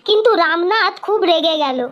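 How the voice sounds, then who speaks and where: A woman narrates a scripted children's story in an extremely high voice. She sounds like the storyteller of a cartoon fairy tale. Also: animated, brisk, fairly bright tone, dull audio.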